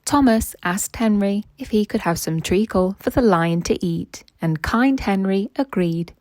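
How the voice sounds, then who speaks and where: A woman's voice: mid-range voice, animated, unhurried, a little mellow, no echo. A woman reading a children's story aloud as narrator and quiz host.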